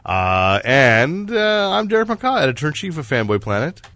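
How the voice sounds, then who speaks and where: A man hosting a casual comics podcast, chatting in a halting, digressive way with some teasing banter. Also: a little high, big pitch swings, a bit bright, no room sound. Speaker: podcast host and editor-in-chief.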